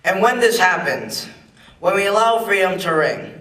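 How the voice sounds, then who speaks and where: A young man's high, bright and forceful voice rings out in an echoey room. He declaims a famous speech with emphasis, as a student reader. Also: expressive, moderate pace. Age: young adult. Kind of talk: dramatic reading of a famous speech. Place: large echoey room at a public event. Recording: echoey hall, far from the mic.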